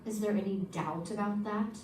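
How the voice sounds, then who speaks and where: A woman's voice: medium pitch, moderate pace, a little mellow, natural voice. A woman playing a composed, calculating wealthy widow in an old-time radio detective drama, poised and pointed. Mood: cool, composed. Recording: roomy, distant stage recording, faint noise.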